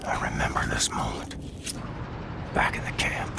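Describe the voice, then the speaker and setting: An actor voicing a brooding, hardened American soldier in a video game, in a gravelly, breathy murmur. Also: male voice, a bass voice, completely flat delivery, unhurried, whispered, heavily acted. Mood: brooding, wistful. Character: brooding, hardened male war hero soldier. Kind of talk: dramatic video game acting.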